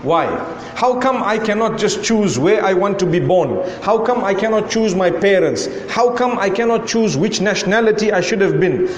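Zimbabwean guy giving a sermon, fairly high voice, keeps asking why and how come, hall echo all around. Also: a little hiss or hum. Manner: rhetorical questioning, repeating "why" and "how come". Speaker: preacher.

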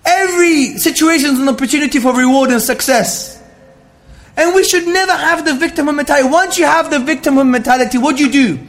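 A man with a high-pitched voice delivers an Islamic lecture to listeners. His delivery is emphatic and rhetorical, and he drops Arabic devotional phrases into his talk. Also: fast talker, fairly bright tone. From Greek heritage. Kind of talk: religious talk to an audience, with questions.

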